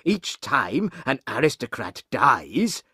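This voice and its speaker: A man voicing a banker who gravely delivers alarming news in measured, emphatic sentences, in an audiobook. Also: medium pitch, sing-song, bright, forceful tone, dry recording, clean studio sound, exaggerated voice. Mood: grave, urgent.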